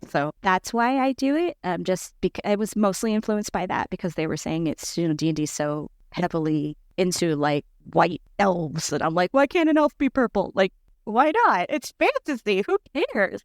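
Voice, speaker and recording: A woman in her forties with a squeaky voice, chatting casually as a podcast guest and leaping up in pitch when quoting herself. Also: moderate pace, dry recording, studio quality. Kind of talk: casual chat.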